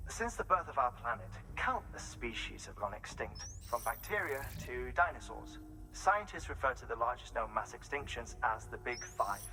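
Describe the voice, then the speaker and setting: Game role: professorial male scientist, bright mid-range voice, eager, theatrical lecturer. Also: medium pitch, lively intonation, normal speed, soft background music, rough recording. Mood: excited. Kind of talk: video game radio briefing.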